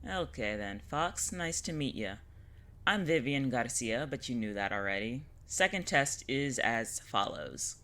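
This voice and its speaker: Audio drama witch, woman actor: sharp-voiced grown woman, slangy, sardonic, short on patience. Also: extremely low pitch, lively intonation, a little slow, exaggerated voice. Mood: brisk. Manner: wry, slangy, dismissive. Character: gruff, sardonic adult witch, easily exasperated.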